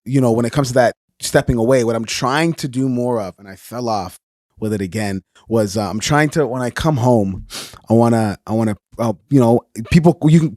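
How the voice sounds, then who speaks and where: A man co-hosting a podcast in casual chat, his voice hoarse and scratchy, still tipsy and rambling with restarts. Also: medium pitch, darkish tone, dry recording, studio quality. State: still tipsy from the night before. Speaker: podcast co-host.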